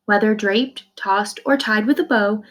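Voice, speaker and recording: A woman's voice: mid-range voice, measured pace, fairly bright tone. A woman reading a children's novel aloud like a teacher, expressively, in the first person, with simple explanations for young listeners. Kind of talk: read-aloud storytelling with brief explanations.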